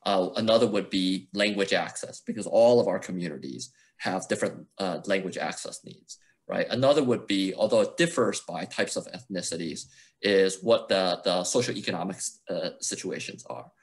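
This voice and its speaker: Measured man on a video call. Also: sits low. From United States. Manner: measured, articulate, gestures while speaking. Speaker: panelist, lawyer at a progressive organization. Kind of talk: panel discussion answer. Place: office at home, indoors. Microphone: video call with earphone mic.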